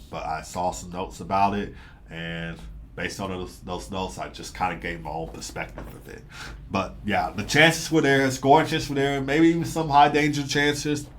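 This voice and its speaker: A man's voice: fairly low pitch, a bit bright. A man hosting a live hockey podcast, talking through the game in a rambling casual way with frequent fillers and repeats, a bit sniffly from a stuffy nose. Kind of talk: casual live sports analysis.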